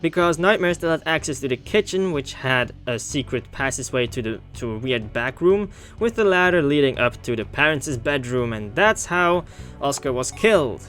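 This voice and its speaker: A man's voice: mid-range voice, expressive, moderate pace, a bit bright, soft background music. A man hosting a gaming video, playfully thinking aloud and exclaiming as he comments casually on the playthrough.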